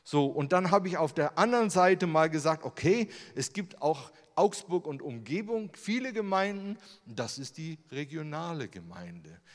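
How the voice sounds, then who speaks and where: A German man giving a sermon with slides, in a conversational way, full of rhetorical questions. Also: medium pitch. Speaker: preacher. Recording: long hall reverb.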